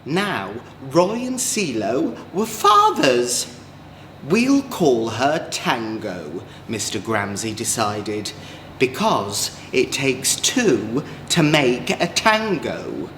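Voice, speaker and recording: A man with an English accent, a drag queen storyteller, reading a children's story in an expressive, gentle way. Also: mid-range voice, extremely slow. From Manchester, England. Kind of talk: children's storybook read-aloud. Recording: echoey room, clear background noise.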